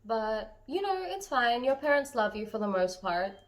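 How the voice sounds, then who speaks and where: A woman's voice: on the high side, very animated, normal speed. A woman co-hosting a casual chat, talking in a rambling, self-deprecating way and laughing often. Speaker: co-host.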